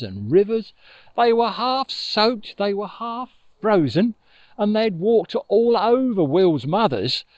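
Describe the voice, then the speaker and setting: An older man telling a children's story in an expressive, conversational way, recorded outdoors on a lapel mic. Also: high-pitched, no room sound, muffled recording. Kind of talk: informal oral storytelling for children. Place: outdoors on a grassy riverbank. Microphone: lapel mic with furry windshield.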